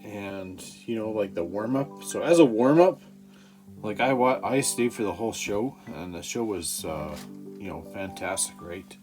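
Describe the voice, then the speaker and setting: A man chatting casually in a halting, rambling, profane way. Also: lowish voice, expressive, a little mellow. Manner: halting, profane, frequent throat-clearing. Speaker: amateur stand-up comedian. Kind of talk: rambling casual vlog chat. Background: guitar music.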